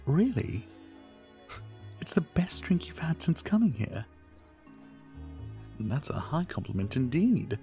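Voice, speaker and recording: Man acting a gravelly, charming vampire bartender. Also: mid-range voice, mellow. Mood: teasing, modest. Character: charming flirtatious male vampire bartender, modest outsider. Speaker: roleplay audio performer. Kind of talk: ASMR roleplay, addressing the listener.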